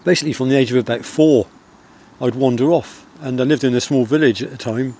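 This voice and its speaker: A middle-aged man speaks with a soft Wiltshire country accent, his voice at an ordinary pitch for a man. He talks in a gentle, rambling way, stopping often on fillers. He is recording outdoors in the countryside. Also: slight background noise. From England. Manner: soft-spoken, rambling, with many pauses and fillers. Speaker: outdoor vlogger. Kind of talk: reflective outdoor vlog.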